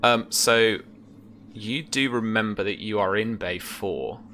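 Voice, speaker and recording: A man acts as game master, describing scenes in a measured way with trailing pauses. His voice is very deep yet bright, with slight noise around it on a video call. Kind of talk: descriptive tabletop game narration. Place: small indoor room at home.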